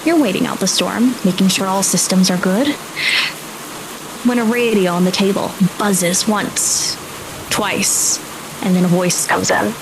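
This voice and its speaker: A woman's voice: medium pitch, fairly even intonation, unhurried, noisy background. A woman working as game master, narrating atmospheric tabletop scenes in a dramatic storytelling manner.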